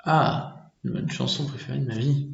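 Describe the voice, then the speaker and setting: A man's voice: medium pitch, gravelly, highs cut off. Hesitant French man, roomy sound. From France, Normandy. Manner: hesitant, many filler pauses. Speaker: interviewee. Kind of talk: casual conversational answers.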